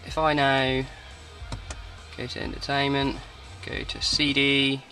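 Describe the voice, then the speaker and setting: A man with an average-pitched voice gives an informal walkthrough of equipment he has fitted. He talks off the cuff in a matter-of-fact way, recorded on a handheld camera in and around a parked car, with the car stereo clearly playing behind him. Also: monotone, slow pace, sharp tone, faint music behind. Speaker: car audio installer presenting a demo.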